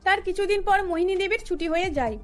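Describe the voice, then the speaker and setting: A woman's voice: very high voice, quick pace, faint music behind. A woman narrator reading a scripted cartoon story.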